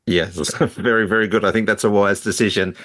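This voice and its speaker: A man's voice: on the low side, steady tone, a little fast, a bit bright, no echo, clean studio sound. A man hosting a podcast, asking questions in a conversational interview style.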